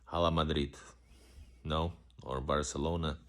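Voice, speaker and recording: A man's voice: very deep voice, flat delivery, measured pace, fairly bright tone. A man hosting a casual live-stream Q&A as a vlogger, rambling loosely with many restarts and fillers. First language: Russian. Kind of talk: casual live-stream chat answering comments.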